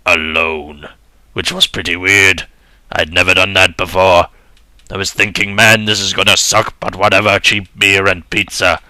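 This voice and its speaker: A man's voice: sits low, pushed and bright, no echo. A young man giving a dramatic first-person reading of a story in a mock-serious, earnest manner.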